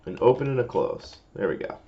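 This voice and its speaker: A man presenting a casual, step-by-step software tutorial, informally explaining each step as he goes. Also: mid-range voice, lively intonation, brisk, highs cut off.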